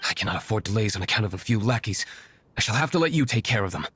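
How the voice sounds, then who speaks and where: A man's voice: on the low side, expressive, racing, fairly bright tone, a little hiss or hum. A man playing a brooding, aloof young nobleman and secret vigilante in a video game, speaking sternly and formally with curt disdain. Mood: brisk, dismissive.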